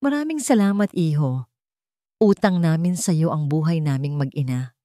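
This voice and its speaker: A Filipino woman voicing a grateful, gentle elderly mother in an audiobook drama. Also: low voice, lively intonation, normal speed, dry recording, studio quality.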